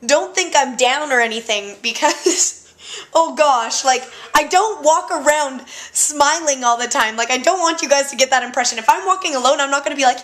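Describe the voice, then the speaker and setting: A young woman chatting casually and loudly in a vlog, excitable and joking. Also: high-pitched, normal speed. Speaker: vlogger.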